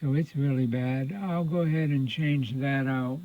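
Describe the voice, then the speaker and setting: A man's voice: mid-range voice, steady tone, mellow, no room sound. Man muttering through tinny, phone-like audio. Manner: informal, folksy, halting mutter while working. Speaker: radio repair hobbyist presenting own repair video. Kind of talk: hands-on repair demonstration, thinking aloud. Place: indoors at a repair workbench.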